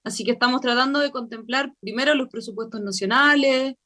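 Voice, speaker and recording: A Chilean woman, a convention member, explaining her work fluently in a radio interview, with frequent filler pauses. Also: sits high, sharp tone. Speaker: constitutional convention member, guest.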